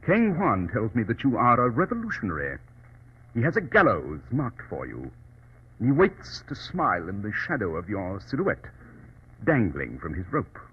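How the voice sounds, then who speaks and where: An actor playing a cool, commanding English gentleman detective in an old radio drama, speaking in a clipped, composed way. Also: on the low side, wildly swooping pitch, moderate pace, husky, exaggerated voice. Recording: dull, noisy old radio recording.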